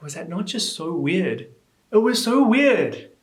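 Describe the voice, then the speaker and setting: A young man chatting casually in a reaction vlog, reading comments aloud and reacting with animated disbelief. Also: slow pace. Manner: animated, incredulous, rising pitch when exasperated. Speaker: YouTuber. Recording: echoey room.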